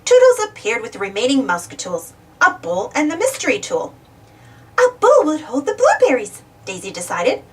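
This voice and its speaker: Female children's read-aloud narrator, high register, hugely lively intonation, slightly distant mic. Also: normal speed. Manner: expressive, simple delivery for young children. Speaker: storybook reader.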